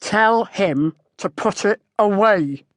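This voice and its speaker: A woman narrator plays a squat, older countryman in an audiobook story. He is gruff and hostile, cursing, and pushes his words out loudly in a dry, close recording. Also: mid-range voice, lively intonation, no room sound, voice pushed up. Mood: hostile, forceful. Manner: gruff rustic talk, slow and hostile, cursing. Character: surly, hostile, short squat older male farmer.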